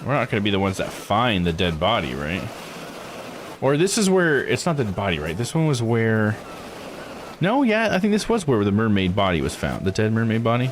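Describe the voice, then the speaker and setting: A man's voice: fairly low pitch, wildly swooping pitch, moderate pace, a little hiss or hum. A man doing a casual let's-play, thinking aloud as he plays. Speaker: video game streamer. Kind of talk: casual let's-play commentary, reading game text aloud. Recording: game music under the voice. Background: video game music.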